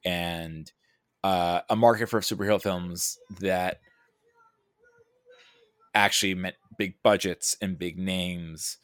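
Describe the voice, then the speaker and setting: A man's voice: low-pitched, steady tone, takes it slowly, long pauses, fairly bright tone, clean tone, no room sound, clean studio sound. A man in a casual film discussion, thinking aloud and often correcting himself mid-sentence. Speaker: podcast guest or co-host discussing a film.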